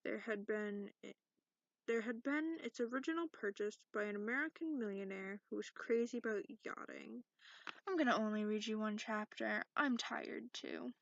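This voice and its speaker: A woman playing a sarcastic, cranky dragon in a soft-spoken ASMR bedtime reading, recorded close up in lo-fi. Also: fairly high pitch, normal speed, dry recording, close to an everyday voice. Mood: irritated, sarcastic. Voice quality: creaky. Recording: dull lo-fi close dry recording.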